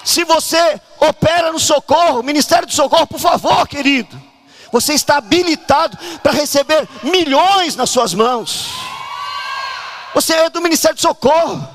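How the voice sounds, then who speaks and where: A man from Brazil preaching a fervent charismatic sermon at a church conference, often shouting and urging the congregation to act. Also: very high-pitched, expressive, normal speed.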